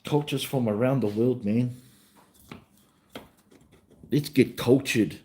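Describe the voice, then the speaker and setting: Mellow male voice, New Zealand accent, mid pitch, easygoing livestream host, rambling casual chat. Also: takes it slowly.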